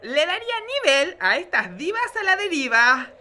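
Man doing a shrill, bright showgirl diva. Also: very animated, normal speed, heavily acted. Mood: grand, fussy. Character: melodramatic, self-styled responsible showgirl diva, a woman. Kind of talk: campy comic radio play. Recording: clearly noisy background.

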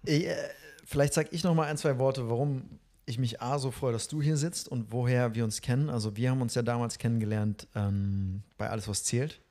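A man's voice: medium pitch, fairly even intonation, moderate pace, dark tone. A man in his thirties hosts a casual podcast with a mid-range voice. He sounds enthusiastic and friendly, and laughs easily as he talks with his guest. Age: 30s. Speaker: podcast host.

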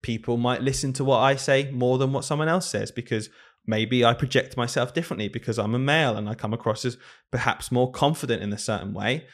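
A man's voice: medium pitch, brisk. A man, a primary school teacher co-hosting a casual podcast, talking earnestly and thinking aloud.

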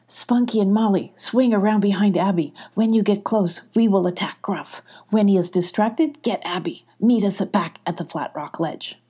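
A woman's voice: mid-range voice, moderate pace, few pauses, warm tone, breathy edge, natural voice. An older woman voicing a brave, cheeky little patrol leader in a children's animal story, commanding and taunting. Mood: urgent, commanding.

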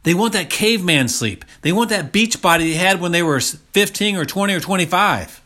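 Bright-voiced older man giving a motivational talk. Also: high-pitched, lively intonation, a little fast. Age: around 60. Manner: emphatic, repeats key phrases for stress. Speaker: health coach and motivational speaker.

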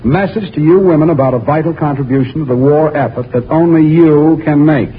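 40s radio announcer guy, slightly high voice, earnest scripted pitch, dull lo-fi sound. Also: fairly quick. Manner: earnest, emphatic, polished. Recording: muffled 1940s radio, faint noise.

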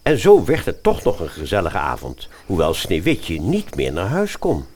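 A man narrating a comic fairy-tale audio drama as an expressive storyteller. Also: low-pitched, moderate pace, slight background noise.

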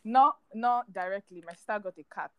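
A woman's voice: medium pitch, fairly quick, no room sound. A young woman from Nigeria co-hosting a podcast with a sweet, soothing voice, chatty and rambling with many fillers. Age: young adult. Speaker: podcast co-host. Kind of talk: casual chat.

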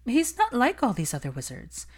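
Woman voicing a gushing, high-pitched society gossip. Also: wildly swooping pitch, moderate pace, slightly rough, heavily acted. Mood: smug, preening. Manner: affected, bright, confiding. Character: young society gossip, gushing, smug, husband-hunting witch.

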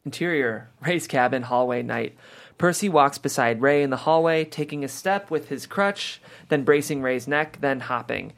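A man narrates a screenplay at a table read. His voice is mid-pitched, and he reads scene headings and action lines as scripted narration. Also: normal speed, gravelly. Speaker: stage directions reader.

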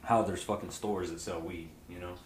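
Texas guy, forties, chill cussing talk, low voice, echoey room, slight hum behind. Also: steady tone, moderate pace, a crowd in the background. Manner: laid-back, swears freely, says "bro" a lot. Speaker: cannabis brand founder. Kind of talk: casual podcast chat.

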